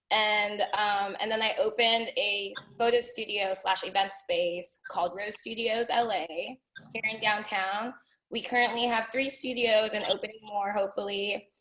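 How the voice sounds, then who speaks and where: A woman's voice: mid-range voice, a bit bright, rough recording. A woman who grew up in the Philippines, a photographer and studio owner, chatting eagerly on a panel over a video call. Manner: chatty, enthusiastic, many "like"s. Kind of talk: casual panel chat.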